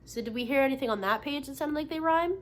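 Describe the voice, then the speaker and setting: High-voiced woman reading a storybook aloud. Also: quick pace. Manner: instructive, checking in with listeners by questions and affirmations. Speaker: teacher reading a picture book aloud. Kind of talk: interactive children's read-aloud, expressive storybook narration with questions. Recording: noticeable background noise.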